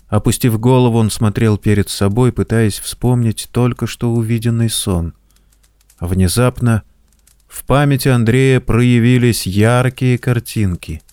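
A man narrating an audio drama in a measured, deliberate storytelling manner. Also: medium pitch, a little mellow, no room sound, clean studio sound. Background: faint music.

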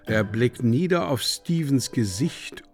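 An actor playing a solemn narrator who reads dreamlike stage directions in a slow, deliberate, measured way for a literary radio drama. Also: male voice, mid-range voice, expressive, soft background music. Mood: measured, grave.

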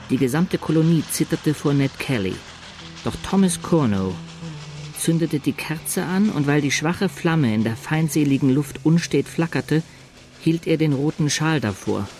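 An actor playing a storyteller who narrates a chronicle for a radio play in a measured, suspenseful way. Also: male voice, medium pitch, darkish tone, close to an everyday voice. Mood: tense. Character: dramatic male storyteller reading a newspaper chronicle. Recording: narration over music.

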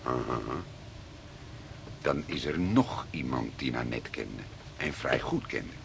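Deep-voiced unhurried police detective. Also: male voice, expressive, creaky, exaggerated voice. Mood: musing, dry. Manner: deliberate, dry, fatherly. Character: unhurried, wry, stubborn veteran police detective. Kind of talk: radio drama acting. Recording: noisy archive radio drama recording.

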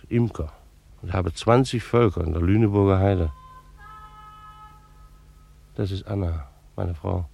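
A retired bailiff of sixty-five in a radio play. His voice is low and gravelly, and he talks in formal, old-fashioned official phrases. He is a dutiful, fussy beekeeper who reflects on old wounds. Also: a little mellow, no room sound, heavily acted. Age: 60s. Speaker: actor narrating in character. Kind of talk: German radio play monologue and dialogue.

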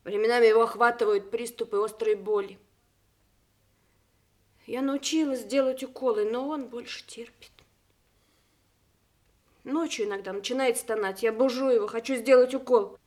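An actress playing a general's devoted, anxious wife in a Soviet radio play, with an expressive, emphatic manner. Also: female voice, fairly high pitch, normal speed, pauses often, close to an everyday voice. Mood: grave, anxious.

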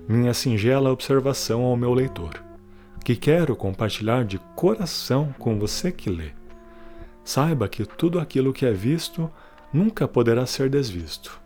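A man playing a weary, guilt-ridden prisoner who confides a farewell testimony in a solemn, deliberate audio drama monologue. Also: mid-range voice, expressive, warm tone, faint music behind, natural voice. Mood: earnest appeal.

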